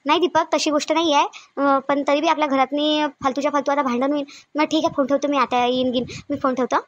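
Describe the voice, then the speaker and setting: A woman's voice: high voice, little pitch movement, fast, dry recording, dull audio, close to an everyday voice. A woman playing an anxious sister-in-law in a family drama, urgent and wordy, with a Varhadi Marathi accent. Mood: worried. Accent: Varhadi Marathi of Vidarbha. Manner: fretful, urgent, explaining at length. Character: anxious, gossipy young sister-in-law fretting over family. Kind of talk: amateur family comedy-drama dialogue.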